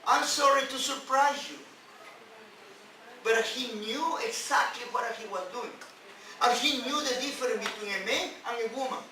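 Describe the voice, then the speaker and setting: A man who is a non-native English speaker, a rabbi giving an emphatic sermon in halting phrases with repeats and rhetorical questions. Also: very high voice, sharp tone. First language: not English. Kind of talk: sermon on scripture. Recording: distant, very echoey, noisy room recording.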